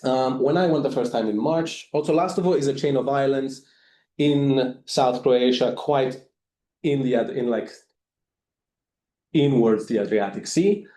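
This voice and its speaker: A man presents his volunteer work in an unhurried, halting way, with plenty of fillers. His voice is mellow and sits at a middling pitch. The room around him is echoey. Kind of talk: presentation talk.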